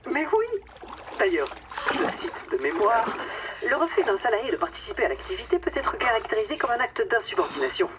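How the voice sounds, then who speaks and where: A woman's voice: on the high side, very animated, fairly quick. An actress playing a falsely affectionate, condescending woman boss in a darkly comic radio drama, with a theatrical, mockingly sweet manner. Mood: mocking menace. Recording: distant microphone, clear watery background noise.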